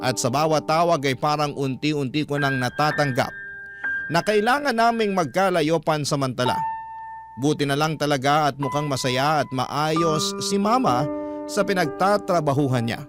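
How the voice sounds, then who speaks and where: Filipino male narrator over clear music. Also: fairly high pitch, moderate pace. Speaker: radio drama narrator. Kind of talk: scripted first-person story narration.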